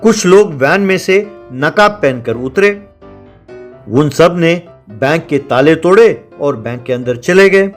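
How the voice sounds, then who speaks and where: A man's voice: a little high, animated, takes it slowly, soft background music. A man reading scripted narration for a children's cartoon story.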